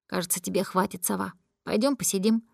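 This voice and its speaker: A woman reading aloud and voicing a gentle, patient young man who speaks quietly and flatly. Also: mid-range voice, fast, vocal fry, no room sound, clean studio sound. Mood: calm. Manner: quiet, calm, flat.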